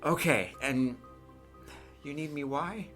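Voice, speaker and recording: A man voicing a weary, horrified woman who helps reluctantly, with halting questions, in a tabletop game. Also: a little high, a bit bright, faint music behind, voice pushed up.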